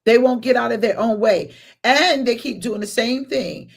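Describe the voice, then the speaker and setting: A woman's voice: fairly high pitch, normal speed, vocal fry, husky. A woman pastor hosting a casual conversation, affirming her guest and giving blunt opinions. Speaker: pastor and host. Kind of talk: casual chat.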